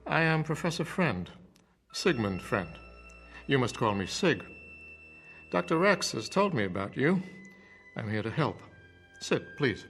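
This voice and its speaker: A calm, didactic male professor in a radio drama. His mid-range voice is measured and persuasive, set over faint music. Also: medium pitch. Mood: soothing. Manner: measured, didactic, deliberate repetition.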